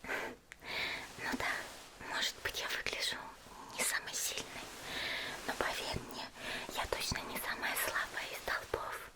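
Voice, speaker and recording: A woman's voice: unhurried, long pauses, close to an everyday voice. Woman acting as sweet shy anime warrior girl, asmr roleplay, coy little hesitations, all whispered. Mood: sweet, proud. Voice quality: soft whisper. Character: sweet, bashful young woman demon-slayer warrior, admiring.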